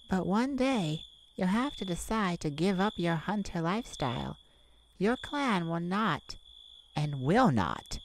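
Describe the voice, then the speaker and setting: A woman voicing a playful, flirtatious immortal vampire in an intimate ASMR roleplay, teasing and dramatic with lingering pauses. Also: fairly low pitch, slight background noise, poor audio. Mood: serious, wistful.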